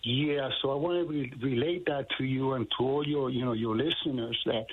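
Older man, husky voice over a tinny phone line. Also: medium pitch, steady tone, normal speed. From Puerto Rico. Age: 60s. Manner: earnest, rambling storytelling with many fillers. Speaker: caller. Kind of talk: phone-in call.

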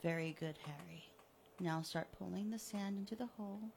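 A woman voicing a sadistic man who taunts his victim in a soft, mocking, drawn-out way. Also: fairly low pitch, gravelly, slight background noise. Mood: taunting. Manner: mocking, softly menacing, drawn out. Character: gloating sadistic male killer, taunting.

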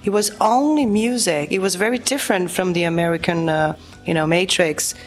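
A woman's voice: fairly low pitch, a little fast, soft background music. Chatty Brazilian-born woman musician. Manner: chatty, full of fillers. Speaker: guest musician. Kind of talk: casual interview chat.

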